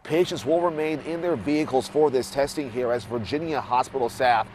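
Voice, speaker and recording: A man's voice: medium pitch, brisk, darkish tone, a little hiss or hum. A middle-aged man delivering a live television news report outdoors. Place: outdoors at night by a street.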